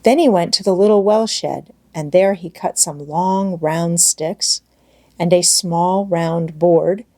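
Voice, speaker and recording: A woman's voice: fairly low pitch, slow pace, darkish tone. A woman reading scripted storybook narration as an audiobook narrator.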